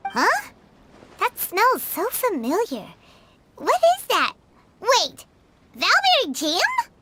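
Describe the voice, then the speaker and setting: A tiny, childlike fairy sidekick from a video game, with an extremely high squeaky voice. Her lines swoop wildly with excitement and quick indignation, over loud background noise. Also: full character voice. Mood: curious. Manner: refers to self in third person, exclamatory. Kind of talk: video game character acting.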